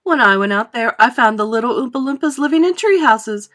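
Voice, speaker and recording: A woman's voice: on the high side, animated, fairly quick, fairly bright tone, pitched above the performer's own voice. A woman voicing an exuberant, boastful, eccentric male factory owner in a storybook, with theatrical, gushing emphasis. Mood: eager, assertive.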